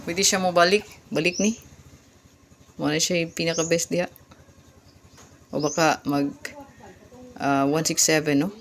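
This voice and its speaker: Over a clearly noisy amateur recording, a vlogger with a Visayan Filipino accent talks in a middling pitch. The delivery is casual and informal, like explaining tips to viewers. Key words get repeated, with polite asides to the audience. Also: a bit bright. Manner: repeats key words and numbers, addresses viewers as ma'am and sir. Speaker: vlogger giving number tips.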